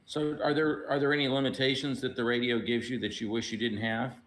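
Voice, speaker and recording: A man in a casual technical discussion, explaining in a measured, hesitant way like an engineer. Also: medium pitch, level tone, a bit bright, low-quality recording. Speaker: radio software engineer.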